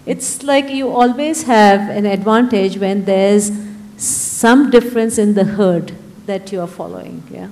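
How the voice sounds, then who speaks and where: A woman's voice: mid-range voice, measured pace, a little mellow, big-room sound. A woman speaking as a panelist in a discussion, making her points earnestly and stacking them in emphatic lists. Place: event hall.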